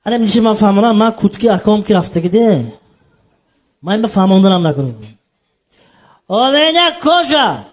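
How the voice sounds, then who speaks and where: A man's voice: sits high, sing-song, moderate pace, long pauses. A man playing a cheerful, naive Tajik migrant man in Moscow on a comedy phone call, speaking broken Russian mixed with Tajik in a Tajik accent. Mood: bumbling.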